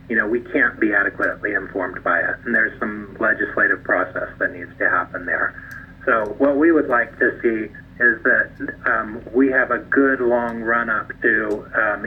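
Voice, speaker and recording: A man speaks in a measured, diplomatic way with a mid-range pitch, as an official answering questions on a conference call. His delivery halts as he explains. The sound is a narrow, tinny phone line with some noise. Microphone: telephone conference line.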